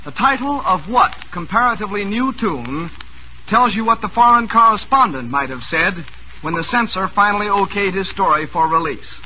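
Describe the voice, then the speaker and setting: High, very bright-voiced vintage radio quizmaster, male. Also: animated, normal speed, highs cut off. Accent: American. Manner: jovial, chatty, showman-like patter. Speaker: radio quiz show host. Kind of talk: 1940s radio quiz hosting. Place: radio studio. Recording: noisy vintage radio broadcast recording.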